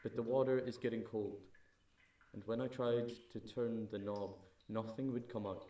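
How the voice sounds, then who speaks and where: A man's voice: fairly low pitch, fairly even intonation, moderate pace, mellow. A man reading spoken-word poetry, recorded in a roomy space away from the mic. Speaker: poetry reader. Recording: roomy echo, distant from the mic.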